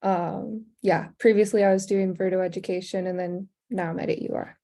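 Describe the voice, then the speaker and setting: Shy, mellow young woman from Alaska. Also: medium pitch, fairly even intonation, a little fast. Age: young adult. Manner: shy, hesitant. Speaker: student.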